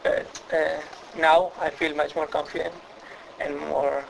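Teen boy, Spanish accent, exchange student, gravelly voice, flat delivery, shy and hesitant. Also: on the high side, measured pace, slight background noise, poor audio. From Spain. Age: teens.

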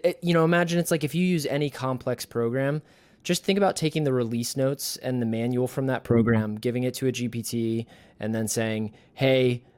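A man's voice: medium pitch, darkish tone. A man with a mid-range voice hosting a casual interview. He thinks aloud in long, winding sentences and leans on "like" and "you know.". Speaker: podcast host.

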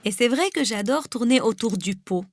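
Brief: woman from Lebanon, Lebanese accent, rolled R, native Arabic speaker, reading a personal essay, fairly high voice. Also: expressive, moderate pace. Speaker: guest reader.

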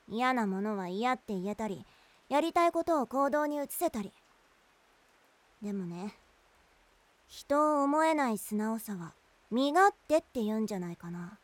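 A woman's voice: fairly high pitch, no echo, lightly acted. A woman playing a level-headed, earnest schoolgirl. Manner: calm, reasoning and earnest. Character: level-headed teenage schoolgirl, class mediator. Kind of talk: amateur voice drama.

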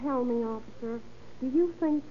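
A woman's voice: high voice, normal speed, natural voice. An actress playing a chatty, nosy young shopgirl who gushes and rambles in 1930s radio style. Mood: gossipy, eager. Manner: gushing, gossipy, rambling. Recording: muffled, hissy old radio recording.

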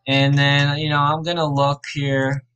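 A man hosting a podcast, thinking aloud in a casual, rambling way, his voice a little raspy and worn. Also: a little high, flat delivery, unhurried, fairly bright tone. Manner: rambling, laid-back, self-correcting. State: slightly raspy, tired voice. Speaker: podcast host. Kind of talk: casual thinking aloud during a fantasy draft.

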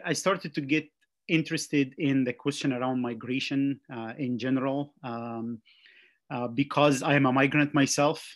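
Hesitant man, measured. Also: mid-range voice. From Egypt. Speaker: seminary professor and former pastor, guest. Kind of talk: guest in a church conversation. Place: church service.

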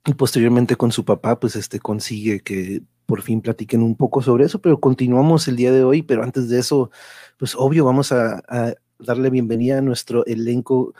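A man's voice: mid-range voice, steady tone, normal speed, warm tone, no echo. Male online host, Mexican Spanish accent, mid register, informal rambling chat, very dry close sound. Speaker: host of an online radio drama show. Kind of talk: casual live-stream introduction and chat.

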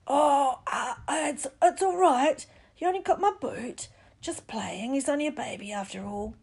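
A woman reading a story, voicing a big soft-hearted man who stammers and blusters fondly. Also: mid-range voice, brisk, creaky, breathy edge, voice pushed up. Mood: pained, fond. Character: big soft-hearted man, tearfully doting on his pet.

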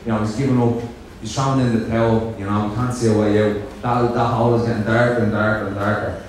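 A man's voice: on the low side, flat delivery, quick pace, distant mic, slight background noise. A young man with a Dublin Irish accent telling his own recovery story from a stage, nervous and self-joking, with lots of fillers. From Dublin, Ireland. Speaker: speaker sharing his story at a convention. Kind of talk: personal recovery talk. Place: large hall on stage. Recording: echoey hall, picked up from afar.

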